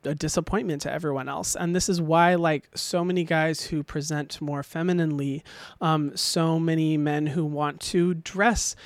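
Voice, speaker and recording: A young man with a high voice, hosting a podcast and giving a casual, animated opinion monologue full of ums and little laughs. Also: moderate pace, no room sound. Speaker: podcast host.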